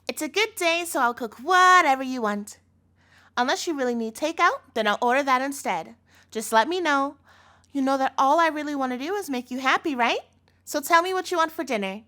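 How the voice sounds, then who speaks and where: A woman's voice: high-pitched, animated, normal speed, pushed and bright. A woman playing an obsessive, doting captor girlfriend in a scripted audio roleplay, speaking directly to the listener in a cutesy tone with menace in it. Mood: sweet, smug.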